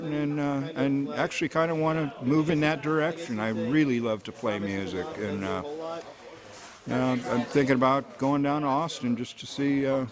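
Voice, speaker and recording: Rambling folksy man amid crowd chatter. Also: mid-range voice. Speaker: engraver. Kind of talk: casual interview talk. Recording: busy crowd chatter behind.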